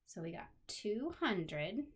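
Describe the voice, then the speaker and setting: A woman teacher speaking directly to young children in an animated, encouraging way, with simple phrases and questions. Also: medium pitch, takes it slowly, noisy background. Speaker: special education teacher. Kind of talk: lesson for young children, talking directly to pupils.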